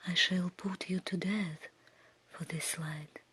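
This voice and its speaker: A stern storybook beast played by a woman speaks in a slow, breathy whisper. The threatening creature commands in hushed, measured phrases, in an ASMR bedtime reading. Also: lowish voice, steady tone, exaggerated voice. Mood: angry, accusing.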